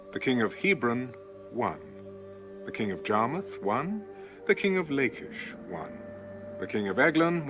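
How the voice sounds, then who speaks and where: A man narrating scripture in a dramatic, scripted style as an audio Bible reader. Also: mid-range voice. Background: music.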